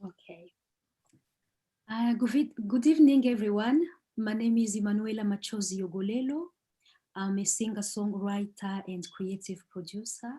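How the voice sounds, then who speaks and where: A middle-aged woman from the Democratic Republic of Congo, introducing herself as a guest speaker at an online talk in a measured way, with frequent hesitations. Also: medium pitch, mellow. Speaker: guest speaker, singer-songwriter.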